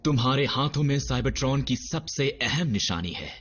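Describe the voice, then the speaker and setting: Serious, measured robot elder. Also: male voice, mid-range voice, lively intonation, a bit bright, slightly rough. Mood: grave. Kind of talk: dubbed animated series acting.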